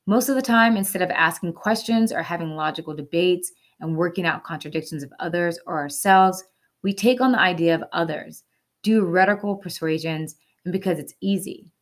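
A woman with a fairly low voice presents a short educational segment. She reads scripted narration in an earnest, instructive manner. Now and then she drops in a casual aside or a rhetorical question. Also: a little fast.

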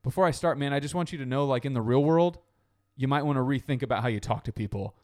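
A man's voice: mid-range voice, fast talker, darkish tone. Rambling man telling a story. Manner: rambling storytelling with many fillers. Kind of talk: casual chat.

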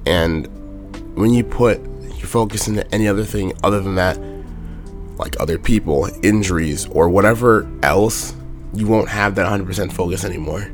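Hoarse young man, deep and raspy. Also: moderate pace, faint music behind. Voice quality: raspy, monotone. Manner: plain-spoken, repeats his point over and over. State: hoarse from a sore throat. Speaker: host of a motivational talk. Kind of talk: casual motivational monologue.

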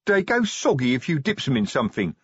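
A man's voice: medium pitch, wildly swooping pitch, brisk, fairly bright tone, breathy edge, dry recording, lo-fi sound, voice pushed up, heavily acted. A man voicing a skinny, dim-witted, drug-addled troll in a fantasy audiobook, with hesitant, rambling, ungrammatical speech. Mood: befuddled.

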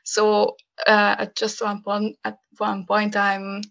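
A young Hungarian woman speaking English as a guest, talking casually with many pauses and fillers. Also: mid-range voice, completely flat delivery, vocal fry, fairly bright tone, highs cut off. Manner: hesitant, many fillers, laughs often. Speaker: podcast guest. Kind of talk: casual interview conversation.

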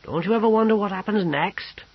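Man doing a loud bossy sergeant in a cook disguise, kinda husky, dull sound. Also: sits high, a little fast, voice pushed up. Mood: commanding. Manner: shouts commands. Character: gruff one-eared soldier posing as cook.

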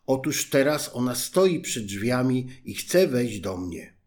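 A man voicing a spoiled, fretful young princess in a fairy tale, with high exclaiming speech. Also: sing-song, slow pace, darkish tone. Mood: anxious.

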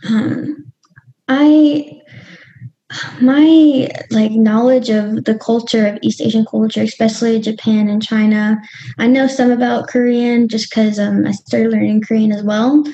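A woman's voice: on the high side, steady tone, unhurried, dark tone, highs cut off. A young woman talking casually as a podcast guest, hesitant and full of ums and restarts. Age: young adult. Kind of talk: casual interview talk.

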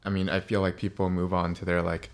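A man's voice: low voice, little pitch movement, fast talker. A young man hosting a podcast in a laid-back, friendly way, calling his guest "dude" and "man". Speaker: podcast host. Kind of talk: casual chat.

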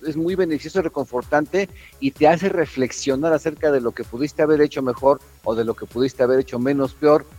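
Male co-host, middle-aged, Mexican accent, mid-range voice, relaxed conversational reminiscing over light music. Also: medium pitch. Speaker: radio co-host. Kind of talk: casual reminiscing chat. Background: background music.

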